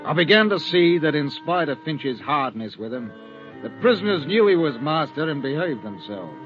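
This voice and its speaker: An actor plays an upright sea captain recalling a hard voyage in a measured, grave tone. His voice sits a little high. It is a muffled old radio recording with music playing underneath. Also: very animated. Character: weary sea captain, middle-aged man, upright. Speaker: narrator and ship's captain. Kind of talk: 1950s radio drama narration.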